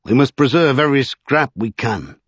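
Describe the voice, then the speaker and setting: A man's voice: mid-range voice, expressive, normal speed, fairly bright tone, slightly rough, no echo. A man voicing an earnest, desperate serving man in a storybook, insistent and exasperated. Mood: pleading.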